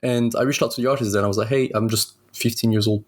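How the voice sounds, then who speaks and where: A young man from Switzerland, a guest telling his story in a relaxed, hesitant way with many pauses. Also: medium pitch. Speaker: guest concept artist. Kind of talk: casual interview storytelling.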